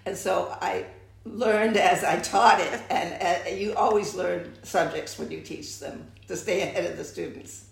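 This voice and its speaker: Gravelly-voiced older American woman recalling her life. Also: fairly low pitch, fairly even intonation, unhurried. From United States. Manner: reflective, with frequent restarts. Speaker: interviewee. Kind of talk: oral history interview. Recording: echoey room.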